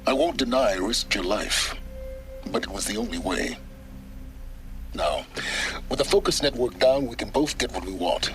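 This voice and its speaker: An actor playing a coolly calculating, pragmatic male mentor in a video game, speaking in measured, articulate, imperious phrases. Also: mid-range voice, expressive, fairly bright tone, soft background music, natural voice. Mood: calm, calculating. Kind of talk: video game cutscene acting.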